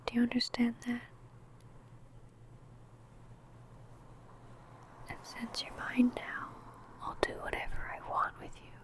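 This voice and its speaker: A woman playing a possessive, predatory vampire in an ASMR roleplay, in a breathy, teasing, menacing whisper. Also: fairly high pitch, warm tone, slight background noise, natural voice, low-quality recording. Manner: slow, intimate, savouring phrasing.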